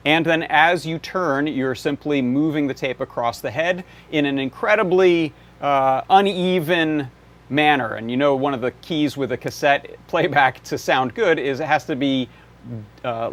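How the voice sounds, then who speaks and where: A man hosting a live electronics workshop, explaining demonstrations in a casual way. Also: mid-range voice. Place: indoor workshop.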